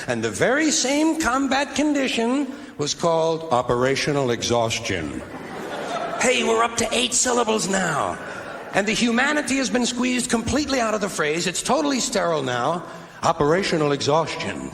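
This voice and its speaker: A stand-up comedian, a man, delivering a biting, deliberate routine on a theater stage. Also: sits high, vocal fry, fairly bright tone. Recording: echoey hall, faint hiss.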